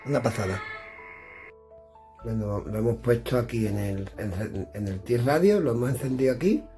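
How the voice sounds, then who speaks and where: A man's voice: mid-range voice, expressive, normal speed, dark tone, soft background music. A middle-aged man from chatting casually indoors as he demonstrates radio equipment, thinking aloud while he fiddles with the devices. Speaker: radio gear reviewer.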